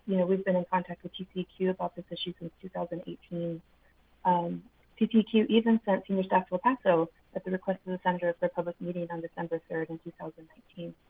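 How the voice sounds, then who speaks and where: A soft-spoken woman, a senator's community affairs director, reading an earnest prepared public comment by phone with frequent ums. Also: medium pitch. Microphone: phone call-in. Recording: tinny phone line.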